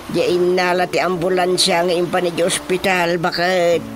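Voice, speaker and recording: A man's voice: high-pitched, completely flat delivery, moderate pace, a bit bright, a little hiss or hum. A man playing a flustered old husband. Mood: fretful. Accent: Ilocano. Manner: stammering, confused exclamations. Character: old husband, fretful and easily startled. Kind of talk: radio drama comedy acting. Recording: radio drama with music behind.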